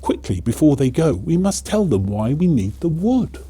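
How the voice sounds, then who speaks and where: A man's voice: fairly high pitch, very animated, moderate pace, mellow, breathy edge, pitched above the performer's own voice. A man voicing a toymaker's earnest, pleading wife in a children's storybook. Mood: urgent.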